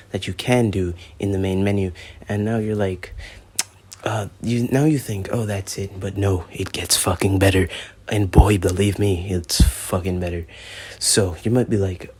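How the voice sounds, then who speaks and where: Brief: teen boy, gaming YouTuber, deep husky voice, rambling and foul-mouthed review. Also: darkish tone. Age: teens. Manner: rambling, profane, joking. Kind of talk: gameplay review commentary.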